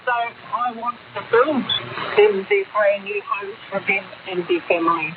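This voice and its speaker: A woman's voice: mid-range voice, steady tone, a little slow. A woman with a New Zealand accent speaking informally as an interviewee, blunt and plain-spoken. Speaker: chief executive, interviewee. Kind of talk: informal interview clip in news report. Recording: narrow, noisy shortwave radio broadcast.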